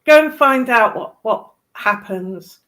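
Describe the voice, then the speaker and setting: A woman's voice: a little high, animated, slow pace, fairly bright tone. A woman chatting casually as a guest on a live stream. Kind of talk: casual chat.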